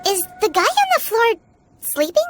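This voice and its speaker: A tiny, eager fairy companion for a video game. The voice is extremely high and childlike, with a bubbly, blunt delivery over faint music. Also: female voice, big pitch swings, normal speed. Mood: curious. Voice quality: squeaky, childlike. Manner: bubbly, speaks of self in third person. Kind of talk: video game character acting. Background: background music.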